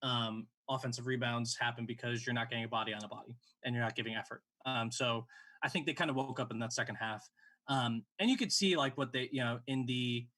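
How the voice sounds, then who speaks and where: A man's voice: mid-range voice, a little fast, sharp tone. A man in his late twenties hosting a sports show, giving casual game analysis in a conversational way.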